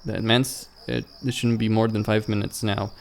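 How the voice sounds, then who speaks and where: A man's voice: fairly low pitch, flat delivery, normal speed, vocal fry. A man hosting a let's play, reading a visual novel script aloud and stumbling over some words. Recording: music behind the voice.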